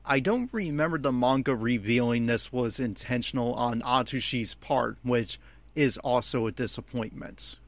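A man's voice: medium pitch, normal speed, no room sound. A man giving off-the-cuff, opinionated audio commentary on an anime episode, speaking emphatically.

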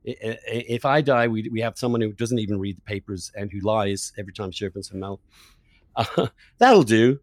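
A man's voice: medium pitch, darkish tone, no echo, clean studio sound. A man hosting a political podcast, debating in an emphatic, opinionated, argumentative way. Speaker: podcast host.

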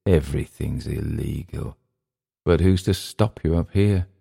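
Guy doing a bossy world-weary old hand of the jungle, deep voice, scornful and teasing, audiobook story. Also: a little slow, gravelly, warm tone, breathy edge, heavily acted. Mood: mocking. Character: domineering, mocking older male jungle settler, world-weary. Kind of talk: audiobook character dialogue.

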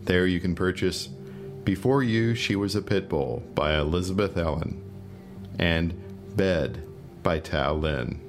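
A man's voice: low voice, a little slow, faint music behind. A man reading scripted announcements as the host of an audio podcast. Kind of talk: scripted narration.

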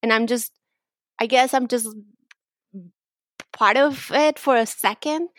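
A woman's voice: a little high, takes it slowly, fairly bright tone, no echo. A young woman from Mexico with a Mexican accent, chatting openly as a podcast guest, laughing often and restarting her sentences. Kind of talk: casual interview chat.

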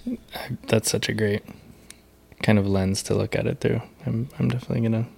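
A man with a fairly low, gravelly voice hosts a casual podcast interview. He talks candidly and loosely, leaning on filler words as he thinks. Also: fairly even intonation, fairly quick, a little mellow. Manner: casual, lots of "like", open and candid. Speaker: podcast host.

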